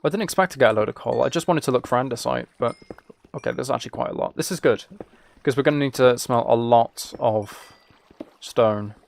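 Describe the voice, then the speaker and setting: A man's voice: mid-range voice, a little fast, gravelly, slight background noise. A British man streaming a game and chatting casually with viewers, musing aloud in an informal, rambling way. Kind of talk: casual chat while playing a game.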